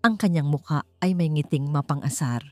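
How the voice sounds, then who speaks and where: Low, mellow Filipino woman storyteller. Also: moderate pace, soft background music. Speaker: story narrator. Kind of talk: dramatic scripted storytelling narration.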